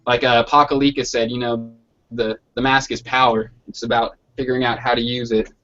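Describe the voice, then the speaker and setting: A man's voice: medium pitch, level tone, brisk, a bit bright. A man chatting casually in slangy, sweary language over an online hangout call with dull, lo-fi sound. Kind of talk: casual chat. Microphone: online video hangout.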